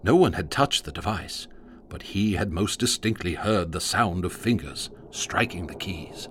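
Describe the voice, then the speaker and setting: An actor playing the grandiloquent narrator of a gothic radio drama, telling the scripted tale in a dramatic manner. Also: male voice, deep voice, expressive, measured pace, fairly bright tone, slight background noise. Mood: wry storytelling. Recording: music behind narration.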